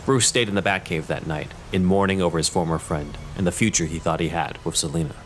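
A man's voice: sits low, fast talker, a little hiss or hum. A man narrating a scripted audio drama as storyteller. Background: music.

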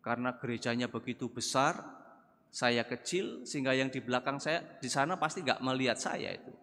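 Older priest preaching in a reverberant church. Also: male voice, medium pitch, pushed and bright. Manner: measured, conversational preaching with rising emphatic bursts. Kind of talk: sermon at a thanksgiving Mass. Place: large church, at a pulpit. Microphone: gooseneck pulpit mic.

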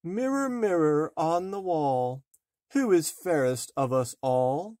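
A man's voice: on the high side, animated, slow, dark tone, no echo, studio quality, pitched above the performer's own voice. A man voicing a vain, wicked storybook queen, chanting in rhyme. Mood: vain, demanding.

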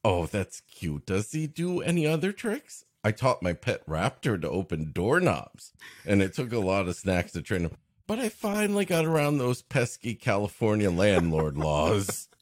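A man's voice: fairly low pitch, sing-song, normal speed, no echo, clean studio sound. A man co-hosting a comedy podcast, riffing casually with joking interjections.

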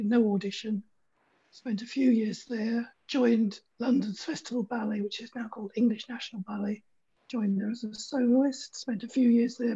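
An older woman with a groggy, husky, gravelly voice speaks in clipped list-like phrases, sometimes sinking almost to a whisper. Her tone is dark and soft, and the video-call sound is muffled and lo-fi. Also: fairly high pitch. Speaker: panel guest, psychotherapist. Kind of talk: brief spoken career summary. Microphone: laptop mic on video call.

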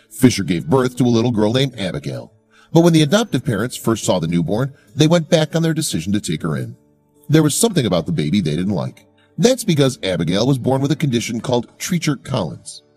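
A male narrator delivers a scripted human-interest story at a brisk clip. His voice sits fairly low but moves through lively, swinging intonation. Music plays behind him. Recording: background music behind voice-over.

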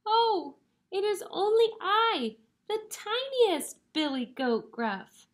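Tiny, high-pitched young billy goat. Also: female voice, sing-song, slow pace, a little hiss or hum, exaggerated voice. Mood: meek. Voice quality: very small voice.

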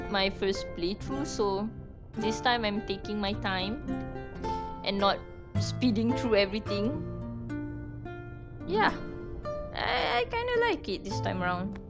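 A young woman from Malaysia chatting casually while playing a game, thinking aloud with fillers. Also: medium pitch. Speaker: streamer. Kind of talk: casual let's-play commentary. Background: game music.

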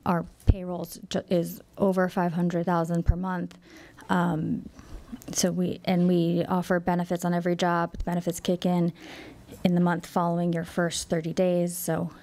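A woman in her thirties answering questions at a town council meeting from a desk microphone, measured and a little apologetic, with frequent fillers. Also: lowish voice, completely flat delivery, vocal fry, a little mellow. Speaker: company representative. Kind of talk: public meeting presentation.

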